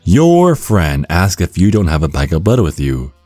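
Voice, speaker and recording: A man with a very low voice delivers scripted ASMR narration like a guide to the listener. His intonation moves in wide, lively swings while staying measured and instructional. The sound is close and dry, with soft music behind him. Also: no room sound.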